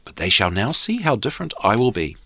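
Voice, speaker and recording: A man's voice: mid-range voice, sing-song, fast talker, sharp tone, no room sound, heavily acted. A man voicing a bossy, vain young storybook king in a pompous, declamatory manner. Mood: self-satisfied. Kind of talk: storybook character reading.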